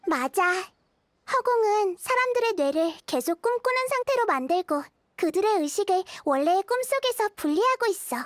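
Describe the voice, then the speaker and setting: A woman voicing a wise, gentle child-like goddess in a game, explaining things patiently and with composure. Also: extremely high pitch, animated, moderate pace, no room sound, studio quality. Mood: calm explaining. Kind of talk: scripted game dialogue.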